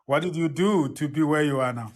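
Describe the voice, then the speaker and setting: A man's voice: a little high, a little fast. A man hosting a live podcast interview, effusive and prone to repeating his words as he starts a thought. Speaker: podcast host.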